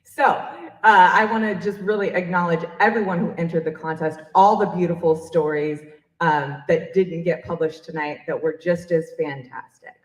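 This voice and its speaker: A woman's voice: on the low side, steady tone, normal speed. A woman hosting a live event off the cuff, speaking in an effusive, encouraging way with emphatic phrases. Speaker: emcee of an awards event. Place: public library event room, in front of an audience. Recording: echoey live event room.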